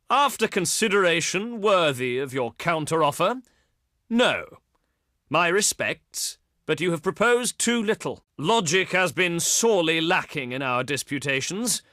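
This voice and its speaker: An actor playing a proud, pious ancient Greek diplomat in a strategy game, speaking in formal, theatrical oratory with haughty eloquence. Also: male voice, a little high, bright, forceful tone, no room sound, clean studio sound, exaggerated voice. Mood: scornful, disappointed. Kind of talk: theatrical scripted game dialogue.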